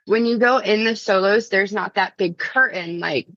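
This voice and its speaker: A woman's voice: mid-range voice, normal speed, a bit bright, dry recording, highs cut off. A woman guest telling anecdotes in casual chat, giggly and peppering her sentences with filler words. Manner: giggly, punctuated with "like". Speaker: podcast guest.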